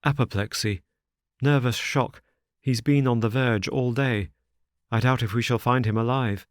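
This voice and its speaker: A man voicing an anxious, careworn young gentleman who speaks in an urgent, distressed way. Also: medium pitch, fairly even intonation, measured pace, no echo, studio quality, voice pushed up, close to an everyday voice.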